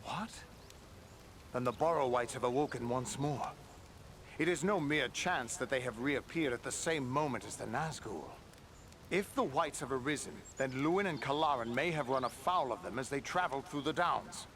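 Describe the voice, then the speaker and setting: A man playing a grave, dutiful ranger captain in a fantasy video game, speaking in formal, measured, archaic phrases. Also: mid-range voice, fairly bright tone, a little hiss or hum, close to an everyday voice. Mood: grave, urgent. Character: grave, dutiful male ranger captain, concerned for his men.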